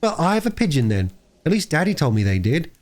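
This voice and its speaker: A man voicing a stubborn, excitable young girl in a storybook reading, quick to argue. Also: a little high, voice pushed up. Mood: argumentative.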